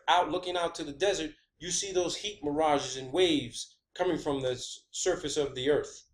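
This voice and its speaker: A man giving an informal opinion monologue as a video host, emphatic and combative, often swearing. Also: on the high side. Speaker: video host presenting own theory.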